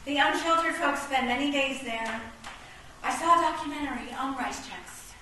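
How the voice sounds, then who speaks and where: Woman acting high, echoey defiant chess piece. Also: moderate pace, bright, forceful tone. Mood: concerned. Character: devoted, defiant, recalcitrant female chess piece. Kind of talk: comic radio play acting. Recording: very echoey, distant, noisy room.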